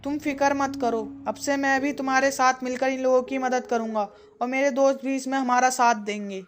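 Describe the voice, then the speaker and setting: A woman's voice: sits high, fairly even intonation, fairly quick, close to an everyday voice. A woman voicing a brave, curious village boy in a children's cartoon, earnest and kind. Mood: reassuring. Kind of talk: children's cartoon story acting.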